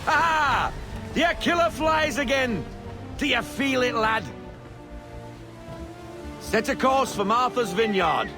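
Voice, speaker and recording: A man's voice: very high-pitched, full character voice. An actor playing a hearty older sea captain in a video game, rousing and salty as he mentors a young sailor. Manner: rousing, salty nautical talk.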